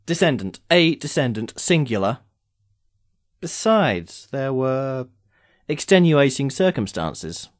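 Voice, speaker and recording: A man acting as an immortal sorcerer in an audio drama, with a very lively, swooping delivery in a mid-range voice. He is urbane and sardonic, phrasing things formally with impatient wit. The sound is close and dry. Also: mid-range voice, slow pace, dry recording. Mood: mildly exasperated. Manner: wry, formal, theatrical phrasing.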